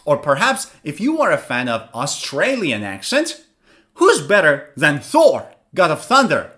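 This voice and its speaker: A man's voice: on the high side, wildly swooping pitch, a little slow, fairly bright tone. A man teaching an English pronunciation lesson, asking questions and answering them himself.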